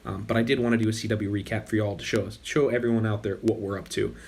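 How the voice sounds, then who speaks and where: A man's voice: lowish voice, fast talker. Man commenting on a game with a worn voice. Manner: relaxed, rambling with frequent self-corrections. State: losing his voice. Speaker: gaming channel host.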